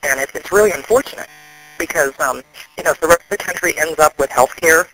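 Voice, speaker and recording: A man, an activist, explaining things in a serious phone conversation, often halting and restarting. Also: on the high side, sharp tone, poor audio.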